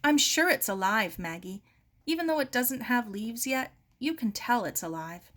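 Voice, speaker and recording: A woman's voice: fairly high pitch, lively intonation, normal speed, a bit bright. A woman voicing an earnest, anxious young girl in a storybook, bossy and emphatic. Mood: eager, confiding. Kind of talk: storybook character dialogue.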